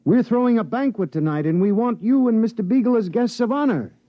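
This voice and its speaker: A genial, courteous boss speaking in measured phrases. His voice sits high with lively swings. The old broadcast sounds dry and dull. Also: no echo. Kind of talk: 1950s radio drama acting. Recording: dry, dull vintage radio.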